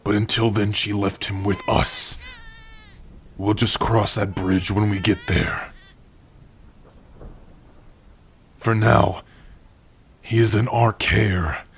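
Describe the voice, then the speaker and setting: Orc blacksmith played by a man for ASMR roleplay: tender and proud, lowish pitch, very breathy rasp, intimate delivery. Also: fairly even intonation, exaggerated voice. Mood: reassuring. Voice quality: husky, breathy. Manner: slow, tender, measured delivery with long pauses. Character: gentle, proud male orc warrior-blacksmith, loving partner. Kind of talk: ASMR roleplay, intimate second-person acting.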